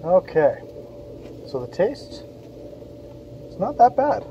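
A man's voice: high voice, sing-song, takes it slowly, dull audio, slight background noise. A man from Western Canada, a parent, giving a casual, chatty beer review on an outdoor patio. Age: adult, parent of kids. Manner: chatty, informal, reads label aloud.

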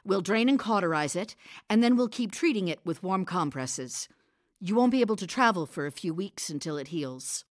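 An actress as a composed village healer, animated intonation. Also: female voice, lowish voice, normal speed, no room sound, clean studio sound, natural voice. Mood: firm. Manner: steady, coaxing, practical. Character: composed, firm, caring female village healer. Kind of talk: audio drama acting.

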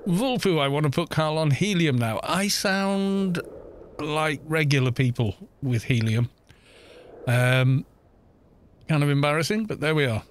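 A man's voice: fairly high pitch, unhurried, slight background noise. A man streaming a game, chatting casually in a rambling, self-deprecating way on a close desk mic.

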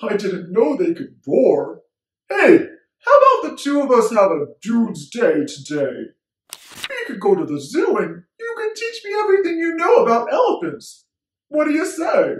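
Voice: medium pitch, huge pitch swings, normal speed, reverberant, pitched above the performer's own voice, poor audio. A performer voicing a genial, playful dad of a young boy, chatting cheerfully in a children's story. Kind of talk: acted children's story dialogue.

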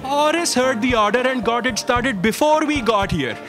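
A man's voice: very high voice, animated, normal speed, music in the background. A middle-aged man playing a fussy, matter-of-fact office assistant in a staged audio drama. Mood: explanatory. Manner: theatrical, explanatory. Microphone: stand microphone on a theatre stage.

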